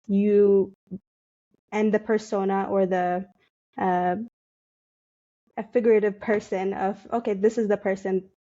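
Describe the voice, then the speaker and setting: Young woman from Ethiopia, interview guest, mellow tone, slightly dull lo-fi audio. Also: mid-range voice. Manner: thoughtful, hesitant with many fillers, laughs often. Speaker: interview guest, digital marketer. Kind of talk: casual interview.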